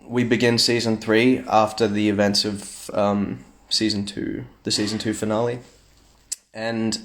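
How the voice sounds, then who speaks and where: Chatty young man on a phone livestream. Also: on the low side. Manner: relaxed, chatty, reads comments aloud with playful asides. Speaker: livestream host answering fan comments. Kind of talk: casual livestream chat.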